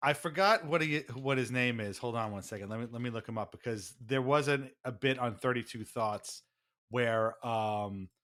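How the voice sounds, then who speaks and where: Bright-voiced man, sardonic sports podcaster. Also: medium pitch, moderate pace. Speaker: podcast co-host. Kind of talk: casual sports podcast chat.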